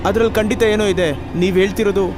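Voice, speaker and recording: ನಟನೊಬ್ಬ ಅಭಿನಯಿಸಿದ ಕುತೂಹಲದ, ಸಂಶಯದ ಯುವ ಪ್ರಯಾಣಿಕ. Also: male voice, high voice, steady tone, quick pace, background noise, lightly acted. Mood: sceptical, curious. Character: curious, sceptical young male traveller at a roadside eatery.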